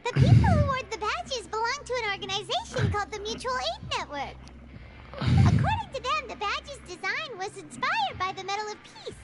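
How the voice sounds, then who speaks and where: Squeaky chirpy lil fairy girl, super high and bouncy, game music under. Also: sing-song, normal speed. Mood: informative. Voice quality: squeaky, sing-song. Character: tiny floating female fairy companion, chirpy. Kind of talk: video game voice acting.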